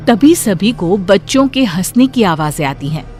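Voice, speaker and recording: Woman storyteller, medium pitch, scripted dramatic narration, music behind. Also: animated, normal speed. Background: background music.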